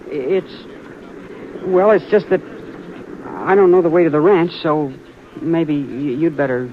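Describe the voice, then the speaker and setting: A man's voice: a little high, slight background noise, close to an everyday voice. An actor playing a polite, earnest sixteen-year-old boy in a 1950s radio drama, on a muffled old recording. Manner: polite and earnest, quick to insist he is not scared.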